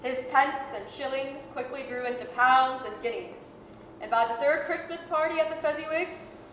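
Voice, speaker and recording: A woman as a storybook narrator with a high voice, telling the tale in old radio style. The sound is echoey, with hall reverb and faint noise. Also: moderate pace, a bit bright. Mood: measured storytelling. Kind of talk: scripted narration, 1930s radio acting.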